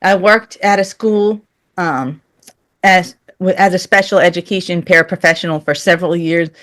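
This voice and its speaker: A woman's voice: fairly low pitch, steady tone, normal speed, a bit bright. A woman speaking earnestly and candidly as an interview guest, in a conversational style.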